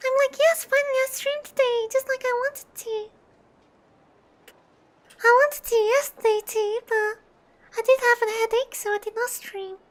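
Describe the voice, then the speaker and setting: A woman's voice: very high-pitched, steady tone, moderate pace, long pauses. A woman game streamer chatting casually with her viewers as a VTuber. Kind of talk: casual livestream chat.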